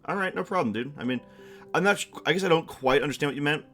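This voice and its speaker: A man's voice: a little high, animated, fast talker, pushed and bright, soft background music. Rambling, reflective male streamer. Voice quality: masculine voice. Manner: rambling, reflective, many restarts and fillers. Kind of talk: casual stream chat.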